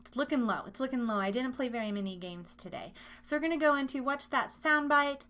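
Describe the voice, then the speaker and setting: A woman's voice: sits high, brisk, bright, forceful tone. A woman hosting a live internet radio show, chatting casually in a playful, rambling way and repeating her phrases as she runs games.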